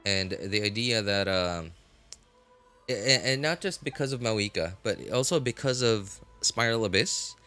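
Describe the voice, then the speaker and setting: Guy streaming games, lowish bright voice, rambles and restarts a lot, music behind him. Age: adult, college graduate. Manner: halting, rambling, repeats and rephrases himself. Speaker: game livestream host. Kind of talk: casual livestream chat.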